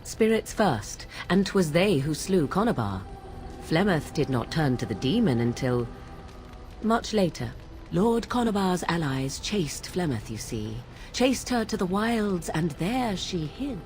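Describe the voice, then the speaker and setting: A low-voiced, aloof young witch. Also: sing-song, darkish tone, slight background noise. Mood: knowing, grave. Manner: archaic, formal, measured diction. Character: aloof young witch of the wilds telling her mother's legend. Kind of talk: theatrical storytelling in fantasy game dialogue.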